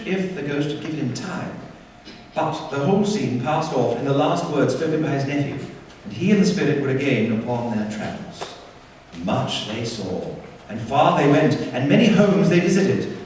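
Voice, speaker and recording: A man narrating a ghost tale as scripted radio play, measured and theatrical. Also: fairly high pitch, darkish tone, recorded from a distance, natural voice. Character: measured male storyteller of a Victorian ghost tale. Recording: very echoey hall from afar, faint noise.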